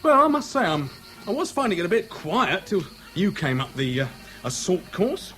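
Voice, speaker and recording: A man's voice: sits high, very animated, moderate pace, a bit bright, faint music behind. A British actor in a 1970s radio drama playing a chatty, outwardly affable man who nurses a grudge, speaking in casual slang. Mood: affable, breezy. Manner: colloquial, slangy, casually insinuating.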